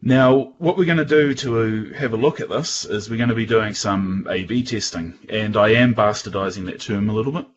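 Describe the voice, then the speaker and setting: A New Zealand man gives a casual technical talk in a fairly low voice. He speaks haltingly, stopping to rephrase as he goes. The sound is somewhat muffled, with a light layer of noise. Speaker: guest presenter at a tech user group. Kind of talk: informal technical presentation.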